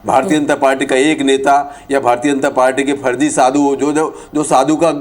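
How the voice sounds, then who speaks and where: Guy arguing on a tv political debate as party spokesman, average pitch, talks over people, repeats himself. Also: little pitch movement, moderate pace, darkish tone. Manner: argumentative, talking over others, repeating phrases.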